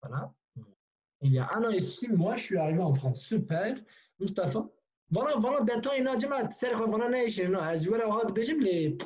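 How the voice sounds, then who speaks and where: A man's voice: high voice, expressive, a little fast, darkish tone, dull audio. A man teaching a French class, repeating learners' sentences and encouraging them, often switching languages.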